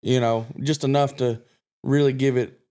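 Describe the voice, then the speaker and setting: A man's voice: medium pitch, steady tone, normal speed, mellow, clean tone. A man from Kentucky, a guest country singer, chatting on a podcast in a confident, rambling way with many restarts. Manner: self-assured, rambling with restarts. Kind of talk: casual podcast chat.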